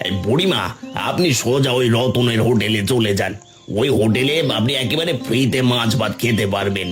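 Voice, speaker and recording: Man as cartoon eatery owner, middle pitch, sly, scornful, clear music behind. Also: lively intonation, fairly quick, natural voice. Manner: hawking, sneering. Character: scheming, scornful food-stall owner. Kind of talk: cartoon voice acting.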